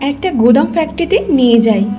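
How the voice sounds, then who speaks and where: A woman narrates a cartoon folk tale from a script. Her voice is notably high-pitched. Music plays clearly behind her storytelling. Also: animated, fast, warm tone. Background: clearly audible music.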